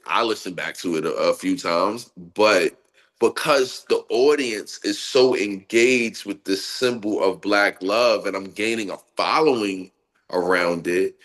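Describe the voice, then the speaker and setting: Congested, nasal-sounding male poet. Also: on the low side. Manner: expressive, laughs often, rhythmic poetic delivery. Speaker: poet guest. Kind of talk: casual interview chat and spoken-word poetry.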